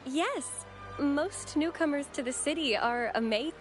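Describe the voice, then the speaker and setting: A young woman voicing a gentle, devout, polite member of a holy order in a video game, speaking in a courteous, formal way. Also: high voice, unhurried. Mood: friendly, cheerful. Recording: game dialogue over clear background music.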